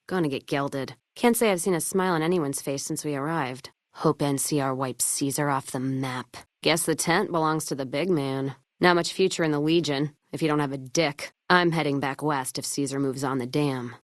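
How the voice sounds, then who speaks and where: Game companion role, played by a woman: tough American wasteland woman, low register, dry sound, gruff and sardonic, foul-mouthed drinker with folksy slang. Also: dry recording, natural voice. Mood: sardonic, wary. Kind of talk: video game companion voice lines.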